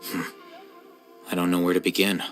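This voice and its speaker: An actor voicing an aloof, intellectual male scholar, calm and measured, with a dry, matter-of-fact manner in a video game. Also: sits low, music in the background, lightly acted. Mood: calm, explanatory.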